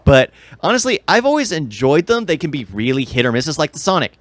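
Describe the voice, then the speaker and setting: A man's voice: fairly high pitch, big pitch swings, fast talker, no echo. A man co-hosting a podcast, giving blunt, opinionated takes in casual pop-culture chat. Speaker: podcast co-host.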